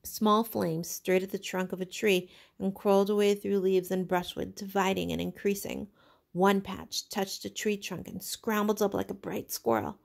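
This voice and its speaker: A woman teacher narrating a novel aloud in an expressive, dramatic storytelling manner. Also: mid-range voice, vocal fry.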